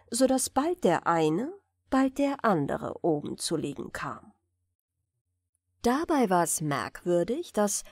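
Slow, animated woman reading fairy tales. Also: mid-range voice, dry recording, studio quality. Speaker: audiobook narrator. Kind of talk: expressive fairy-tale narration.

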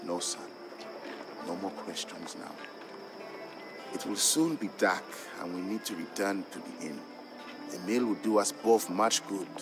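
An actor playing an Ethiopian trader and father, narrating old legends in a measured, fond storybook style for a game. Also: low-pitched. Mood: gentle, fatherly. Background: background music.

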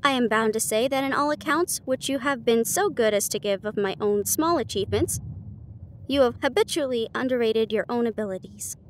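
A woman voicing a sharp, confident male detective with a precise, formal, condescending manner in an audiobook. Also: fairly high pitch, a little fast, a little hiss or hum, close to an everyday voice, poor audio. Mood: approving.

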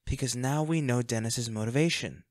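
A man playing a devoted, protective bodyguard with a dark past in an intimate audio roleplay, speaking earnestly and gravely. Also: medium pitch, normal speed, no echo, studio quality, close to an everyday voice. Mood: grave, determined.

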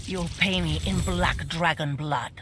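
A woman's voice: sits low, a little slow, background noise. A woman playing a cold, threatening blood mage in a video game, fanatically loyal to her warlord. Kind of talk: video game character dialogue. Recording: game audio with loud ambient effects.

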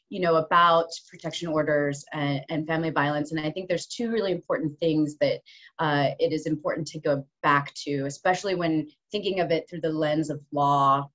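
A woman's voice: low voice, normal speed, a bit bright. A woman human rights lawyer, giving an earnest conference talk full of ums and restarts over a video call. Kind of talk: online conference presentation. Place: office room at home base, rain on the roof. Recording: slightly dull video call audio.

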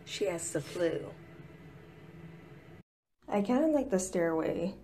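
A woman's voice: on the low side, big pitch swings, takes it slowly, dark tone. A woman in her twenties chatting offhandedly while vlogging a road trip from a car, her phone held at arm's length. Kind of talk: casual travel vlog. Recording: clear road and car noise.

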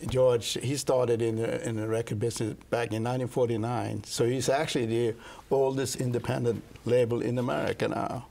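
Older Swedish-accented man, gravelly, halting studio guest on a lapel mic. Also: mid-range voice, level tone, a little mellow, slight background noise. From Sweden. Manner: halting, with many fillers and restarts. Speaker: guest, jazz pianist and record label manager. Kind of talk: casual interview chat. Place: TV studio set.

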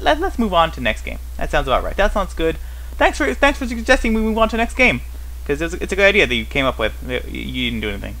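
A man with a fairly high, bright, forceful voice hosts a casual gameplay commentary. He rambles as he thinks aloud, often putting questions to himself. His humour is wry, with a mock-puzzled edge. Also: poor audio. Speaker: host of a gaming series.

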